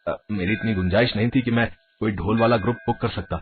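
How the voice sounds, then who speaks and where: A man's voice: lowish voice, fast, narrow, tinny audio, soft background music, close to an everyday voice. A man voicing a poor bridegroom in a story, speaking hesitantly and with resignation. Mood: resigned. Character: poor, subdued bridegroom, resigned and short of money.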